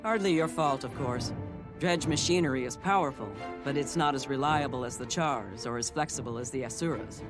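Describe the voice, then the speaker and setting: An actor playing a sly, self-assured secret agent in a fantasy video game, talking in a wry, confident manner. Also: male voice, medium pitch, brisk, natural voice. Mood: reassuring. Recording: game music behind the voice.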